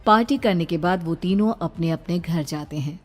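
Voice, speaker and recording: A woman's voice: on the low side, moderate pace, soft background music. A woman storyteller reading a scripted narration for a story.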